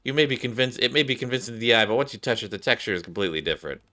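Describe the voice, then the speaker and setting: A man's voice: mid-range voice, racing, sharp tone, lightly acted. A man voicing a patient but exasperated shopkeeper in a game, wearily explaining.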